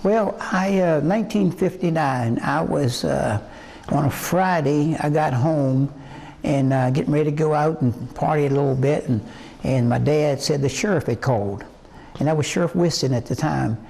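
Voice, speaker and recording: A man in his eighties from West Virginia, a singer telling his life story in an interview in a halting, rambling way full of fillers and restarts. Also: fairly high pitch, gravelly, darkish tone, rough recording. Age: 80s. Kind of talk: reminiscing interview answers.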